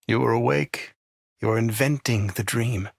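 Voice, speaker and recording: Menacing male game mentor, theatrical, cryptic, breathy, raspy, close dry sound. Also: mid-range voice, normal speed, no room sound. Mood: intense praise. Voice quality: breathy, shaky. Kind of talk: theatrical video game voice acting.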